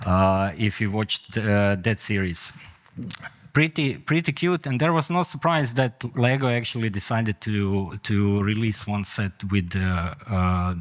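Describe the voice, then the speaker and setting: A middle-aged man from Croatia with a Croatian accent, giving a conference talk with many hesitant fillers. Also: fairly low pitch. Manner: hesitant, frequent "uh" fillers, non-native phrasing. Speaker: conference speaker. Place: conference hall.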